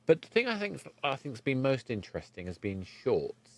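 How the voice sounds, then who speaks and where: A man's voice: mid-range voice, huge pitch swings, normal speed. A man hosting a conversational podcast interview, thinking aloud and often rephrasing mid-sentence.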